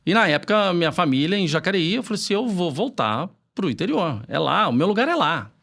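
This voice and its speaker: A man's voice: high-pitched, sing-song, brisk, creaky, a bit bright. A man with a caipira accent from the São Paulo interior, a trainer guest speaking earnestly with many stammered restarts. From São Paulo state interior, Brazil. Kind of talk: casual interview chat.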